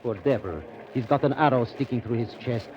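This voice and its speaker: An actor playing a fussy, self-satisfied colonial company manager in a 1930s radio drama, with a pompous, repetitive way of talking. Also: male voice, mid-range voice, a little fast, natural voice. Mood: musing, uneasy. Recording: muffled, noisy 1930s radio recording.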